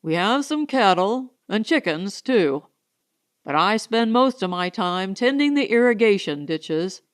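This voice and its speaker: A woman's voice: medium pitch, expressive, measured pace, smooth, close to an everyday voice. A woman voicing a plain-spoken, uneasy man who farms on a colony world, terse and awkward, in an audiobook. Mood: plain, reserved. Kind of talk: audiobook character dialogue.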